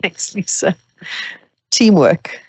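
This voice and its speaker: A woman explaining academic procedures to new students at an orientation, steady and practical with some fillers. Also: medium pitch, slow pace, breathy edge, dry recording. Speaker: orientation presenter.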